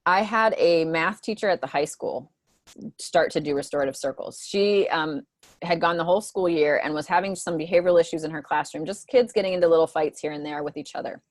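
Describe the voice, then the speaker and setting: A woman guides a group of teachers through a presentation over a video call, in a lowish voice. She talks conversationally and keeps checking in with "right?" asides. The sound is dry and close. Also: a little fast, no echo. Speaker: school mentor presenting to teachers.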